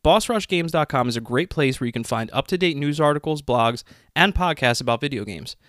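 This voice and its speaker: A man hosting a gaming podcast, talking in a quick, joking way and swearing a lot. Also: mid-range voice, expressive, fairly bright tone, no room sound, studio quality. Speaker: podcast host. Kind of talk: casual chat.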